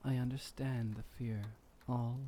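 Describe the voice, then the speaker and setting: A tender, courtly male vampire , played by a man, murmurs comfort in a very unhurried, dark and velvety voice. His phrasing is formal and old-world, delivered intimately as ASMR roleplay over light background noise. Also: mid-range voice, level tone, lightly acted. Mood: calm, reassuring. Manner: soft, soothing, old-fashioned formal phrasing, breathy sighs.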